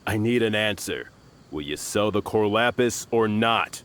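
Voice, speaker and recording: A sneering, cackling thug. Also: male voice, fairly low pitch, animated, a little slow, fairly bright tone, a little hiss or hum. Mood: threatening. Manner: mocking, cackling. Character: sneering adult male thug, bullying.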